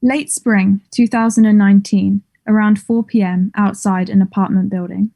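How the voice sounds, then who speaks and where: A woman's voice: mid-range voice, fairly even intonation, normal speed, mellow. A woman reading stage directions as scripted narration at a table read of a play.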